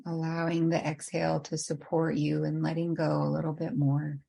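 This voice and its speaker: A woman leading a guided meditation in an unhurried, gently inviting way with long pauses. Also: low-pitched, completely flat delivery, creaky, husky.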